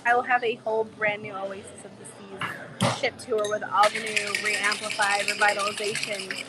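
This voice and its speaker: A woman travel vlogger with a cold, her voice a bit hoarse, chatting in a bubbly, gushing way to her live viewers from a bar. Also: medium pitch, level tone, normal speed, very noisy. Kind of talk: casual live-stream chat with viewers. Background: music playing in the bar.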